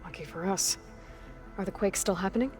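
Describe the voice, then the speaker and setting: A woman's voice: mid-range voice, a little fast, over music, natural voice. A woman voicing a determined young female warrior in a video game, wry and plain-spoken. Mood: wry, curious. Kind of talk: scripted video game dialogue.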